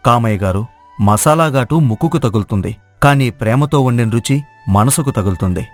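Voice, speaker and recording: A man's voice: mid-range voice, animated, normal speed, faint music behind, lightly acted. A man playing a humble, honest village cook who speaks politely and confidently in a moral story. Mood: calm, assured. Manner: polite, assured. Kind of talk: acted moral story dialogue.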